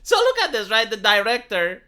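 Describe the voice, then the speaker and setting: A man's voice: high-pitched, huge pitch swings, moderate pace, sharp tone. A Romanian man with a Romanian accent giving a sarcastic, exasperated, opinionated commentary in casual speech. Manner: sarcastic, exasperated, with rhetorical tag questions. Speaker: YouTube commentator and streamer.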